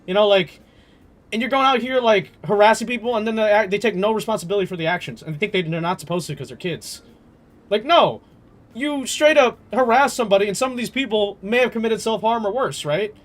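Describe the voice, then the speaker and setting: A man's voice: high-pitched, animated, brisk. A young adult man streaming a game and chatting casually, rambling and emphatic, swearing freely. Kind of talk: casual live stream chat.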